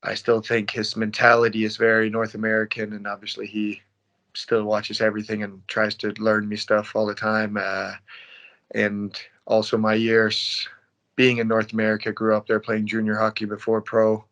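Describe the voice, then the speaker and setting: A man in his late twenties from Denmark speaks in a low, very even voice. He answers interview questions casually, with a laid-back, quiet manner, as a professional athlete would. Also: normal speed. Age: late 20s. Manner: laid-back, not loud. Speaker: professional ice hockey player being interviewed.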